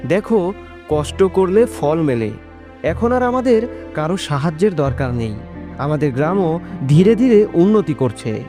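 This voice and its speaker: A man's voice: a little high, big pitch swings, unhurried, dark tone, soft background music. A man playing an earnest, hardworking village farmer in a moral-story cartoon, reassuring and optimistic. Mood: content, proud.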